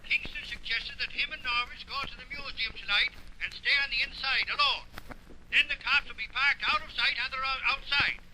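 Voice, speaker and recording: An excitable, gossipy man of an old radio serial, talking in loose slang. His voice sits very high, and the old recording is clearly noisy. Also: a little fast, full character voice. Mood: excited, gossipy. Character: excitable adult male informant, chatty and slangy. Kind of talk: old-time radio drama acting.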